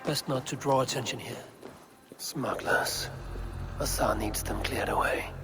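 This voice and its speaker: A man playing a resolute, courteous Viking warrior in a video game, speaking in a terse, measured, matter-of-fact way. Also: medium pitch, loud music behind the voice, natural voice. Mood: resolute, calm. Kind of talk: video game character dialogue.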